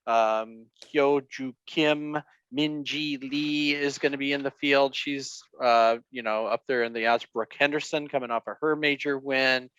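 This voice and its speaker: A man co-hosting a casual golf podcast, going over the news with a lot of fillers and pauses. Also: medium pitch, steady tone, a bit bright, smooth, no echo, rough recording. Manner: hesitant, many fillers. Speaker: podcast co-host. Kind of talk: casual sports chat.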